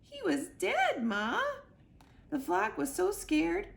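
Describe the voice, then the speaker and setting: A woman's voice: very high voice, sing-song, takes it slowly, vocal fry, pitched above the performer's own voice, exaggerated voice. A woman reading a children's book aloud as a young goose earnestly telling his mother about his adventures. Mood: distressed.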